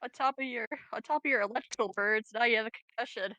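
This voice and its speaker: A woman's voice: fairly high pitch, fast talker, bright, forceful tone, dry recording, lo-fi sound. A woman chatting casually as a player in a tabletop game. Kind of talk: casual chat.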